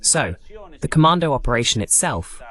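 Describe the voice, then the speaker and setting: A man's voice: mid-range voice, sing-song, moderate pace, pushed and bright. A man hosting a political commentary broadcast, talking in a sardonic, digressive way. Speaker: host of political analysis broadcast. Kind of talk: political commentary, English voice-over of a live talk.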